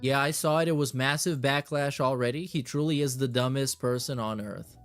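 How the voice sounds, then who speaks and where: A man's voice: mid-range voice, moderate pace, faint music behind. A man hosting a reaction show in a casual, blunt, sardonic way, reading messages aloud and swearing as he comments.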